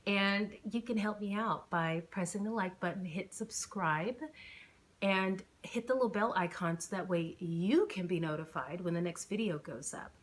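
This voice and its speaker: A woman's voice: lowish voice, normal speed. A woman hosting her book channel as an author, chatting in an informal, enthusiastic way. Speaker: author reading own novel, channel host. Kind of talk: casual chatty channel intro.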